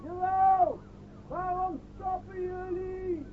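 Radio play: eager little helper, extremely high voice, thin tinny recording. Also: male voice, flat delivery, slow pace. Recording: tinny old radio recording, background noise.

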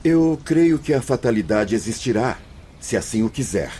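A man's voice: medium pitch, sing-song, moderate pace, warm tone, slight background noise, natural voice. A man playing a composed, scholarly spirit instructor in a radio drama, speaking in a formal, declamatory way. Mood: composed, earnest.

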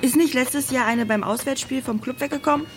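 An actress playing a stubborn, probing young police trainee in a naturalistic radio drama. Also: female voice, sits high, fairly quick, lightly acted. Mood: insistent. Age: young adult.

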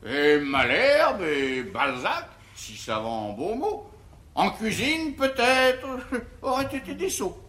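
Swooping, high-voiced henpecked husband. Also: male voice, huge pitch swings, slow, sharp tone, exaggerated voice. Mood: comic protest. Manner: theatrical, drawling, blustering then meek. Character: henpecked, timid, food-loving bourgeois husband, good-natured. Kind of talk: 1950s classical French stage comedy in verse. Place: theatre stage. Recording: roomy 1950s theatre stage recording.

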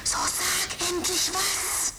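An actress playing an embittered, fearless woman, threatening in breathy, halting phrases on a noisy tape in a radio drama. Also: extremely high pitch, level tone, pushed and bright, full character voice. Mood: urgent, commanding. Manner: slow, insistent, halting short phrases. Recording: noisy taped voice with ambience.

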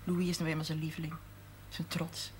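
An actress playing an earnest farmer's wife who now keeps a shop, confiding and at times near a whisper, in a 1970s TV drama. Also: female voice, sits low, flat delivery, fairly quick, a little mellow, slight background noise, natural voice. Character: earnest farmer's wife turned shopkeeper, middle-aged woman. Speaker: shopkeeper's wife.

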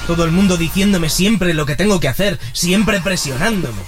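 A man's voice: sits high, moderate pace, lightly acted. A man playing an insecure, put-upon young musician in a radio drama, plaintive and melodramatic. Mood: anguished, bitter. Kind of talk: serial radio drama acting. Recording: music clearly under the voice.

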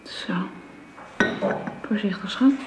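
An actress playing a gentle, caring young governess in a radio drama, speaking politely and coaxingly. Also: female voice, slow, noisy background, close to an everyday voice. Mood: soothing, motherly. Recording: muffled, roomy radio drama recording.

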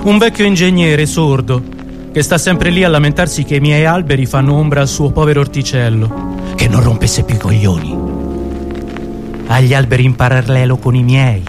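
A man's voice: medium pitch, moderate pace. A man performing a scripted, dramatic literary monologue for radio. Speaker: radio show author and performer. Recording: music bed behind the voice. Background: music.

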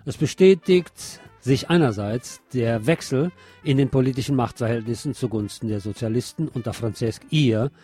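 A man's voice: medium pitch, moderate pace, mellow, husky, soft background music. A man presenting scripted news with commentary, explaining items in an opinionated way.

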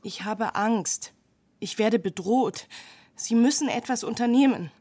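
Husky, frightened, stubborn young woman. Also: on the high side, measured pace, warm tone. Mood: afraid, indignant. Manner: insistent, curt, tense delivery. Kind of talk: radio drama dialogue.

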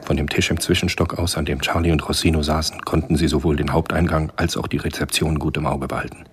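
An actor as the composed narrator of a German radio spy thriller, telling the story in a measured, literary way. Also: male voice, extremely low pitch, little pitch movement. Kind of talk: scripted radio drama narration.

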